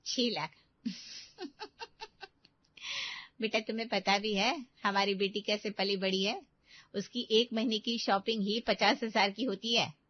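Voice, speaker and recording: A woman's voice: medium pitch, fairly even intonation, measured pace, long pauses, dull audio, close to an everyday voice. A woman playing a haughty, scornful middle-aged mother in a story. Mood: mocking.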